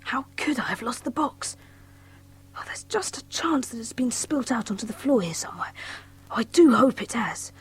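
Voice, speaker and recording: A performer playing a plucky, anxious young boy, earnest and sometimes hushed, in a dramatised audiobook. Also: mid-range voice, lively intonation, normal speed, slightly rough, in a whisper, poor audio. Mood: worried. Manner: earnest, breathless self-narrating, sometimes hushed. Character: Kay, plucky anxious tiny schoolboy adventurer in hiding.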